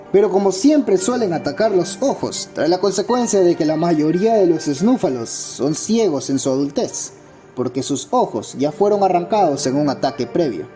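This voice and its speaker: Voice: medium pitch, mellow. A narrator giving a scripted documentary-style account with a dramatic, opinionated storytelling manner. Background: background music.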